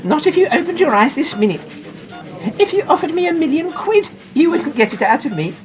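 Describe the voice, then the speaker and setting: A woman voicing an excitable young boy who lies in a coma, confiding his thoughts emphatically in a dramatic audiobook narration. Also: high voice, fairly quick, soft background music, pitched above the performer's own voice. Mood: wry, curious.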